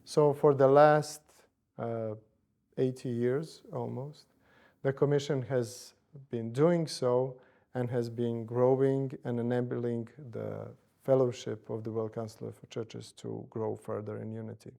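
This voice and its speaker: A man in his forties from Bosnia and Herzegovina, speaking, answers interview questions in a measured way, with frequent fillers and hedges. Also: medium pitch, level tone, vocal fry, dark tone. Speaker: interviewee, church commission director.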